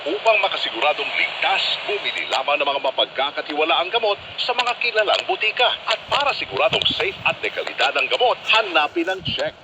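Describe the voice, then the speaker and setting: Animated high-pitched Filipino radio ad announcer. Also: male voice, brisk, few pauses. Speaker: commercial announcer. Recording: very noisy AM radio aircheck.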